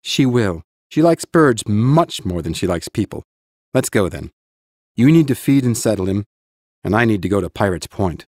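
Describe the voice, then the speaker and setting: Practical mage mentor, lively intonation. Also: male voice, fairly low pitch, moderate pace, no room sound, clean studio sound. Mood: resigned. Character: stern but kindly male mage teacher, patient, practical. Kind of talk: full-cast audiobook dialogue.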